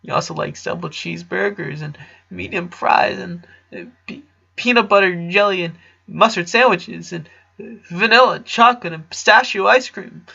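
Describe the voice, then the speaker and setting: A high-pitched, whiny little boy acted by a male reader pleads tearfully in a dramatic story reading. He sounds clingy and upset, bright in tone, on a slightly dull recording. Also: moderate pace, creaky, husky, heavily acted. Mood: tearful, eager. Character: distraught, clingy young boy, grieving best friend.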